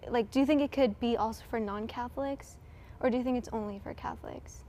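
Chatty higher-voiced young woman. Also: fairly even intonation, fast talker, dark tone, slight background noise. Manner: chatty, many fillers like "like" and "um". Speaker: panelist. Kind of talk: casual panel chat.

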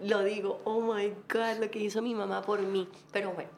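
A woman's voice: mid-range voice, level tone, moderate pace, a little hiss or hum. Laughing woman telling her story. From Colombia. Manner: laughs often, warm storytelling. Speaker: guest. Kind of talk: casual interview chat.

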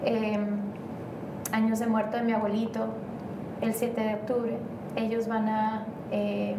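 A woman's voice: fairly high pitch, completely flat delivery, takes it slowly. A woman from Mexico, a guest in an interview, talking in a rambling, hesitant way with many fillers. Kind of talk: casual interview conversation. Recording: roomy, distant, faint noise.

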